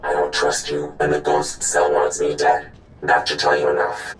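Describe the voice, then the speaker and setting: A man's voice: a bass voice, completely flat delivery, normal speed, roomy sound, a little hiss or hum. An actor playing a suspicious, demanding male informant who speaks in a terse, distrustful way in a video game. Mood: wary, irritated.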